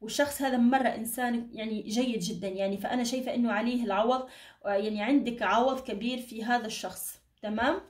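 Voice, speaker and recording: A woman's voice: on the high side, fairly even intonation, fast, darkish tone. A woman gives an informal card reading as a channel host, reassuring her listeners with endearments and blessings in a slightly tired voice. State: tired, strained voice. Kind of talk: informal fortune-telling reading to camera. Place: indoor room at a table. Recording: echoey room, distant microphone.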